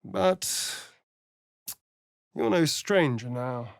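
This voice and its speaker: A man's voice: mid-range voice, lively intonation, drawn-out words, gravelly, no room sound, studio quality, full character voice. An actor playing a sly, vain male vampire rogue in a video game, with an arch, sardonic, theatrical drawl. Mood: arch, teasing. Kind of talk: video game character voice lines.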